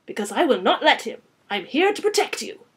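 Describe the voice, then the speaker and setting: A woman's voice: sits high, sing-song, a little fast, slightly rough, pitched above the performer's own voice, heavily acted. A woman voicing a gallant, formal male vampire count with a put-on French accent, in a dramatic storybook reading.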